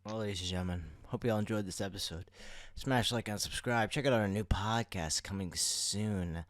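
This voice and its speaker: Low-voiced man, dry podcast mic. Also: moderate pace, a bit bright, no room sound. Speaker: podcast co-host. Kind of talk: casual podcast banter.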